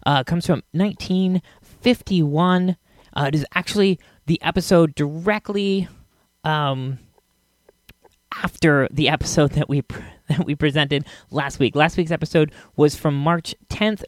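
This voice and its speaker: A man hosting a podcast, introducing an old radio show in a relaxed way with chuckles and fillers. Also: fairly high pitch, dry recording, studio quality. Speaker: podcast host.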